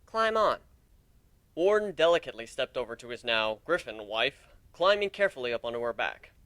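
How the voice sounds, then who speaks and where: A man's voice: medium pitch, very animated, fast talker, a bit bright, no room sound. A man reading a story aloud as narrator, in a dramatic audiobook style. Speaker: narrator of a fan fiction reading.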